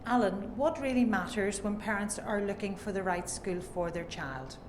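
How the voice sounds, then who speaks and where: A woman asks clear, direct interview questions at a middle pitch. Her voice rings with room echo, and clear background noise sits under it. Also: normal speed.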